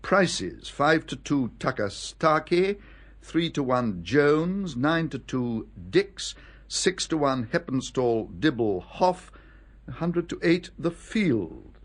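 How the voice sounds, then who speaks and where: English valet, unhurried, formal, impassive, deferential, radio play. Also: male voice, a little high, big pitch swings, heavily acted. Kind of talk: radio drama acting.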